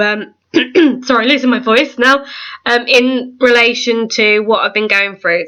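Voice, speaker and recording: Bright-voiced young woman vlogging. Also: mid-range voice, highs cut off. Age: 20s. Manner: rambling, filled with ums and self-corrections. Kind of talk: casual chat to camera. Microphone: camera, then webcam. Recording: echoey room.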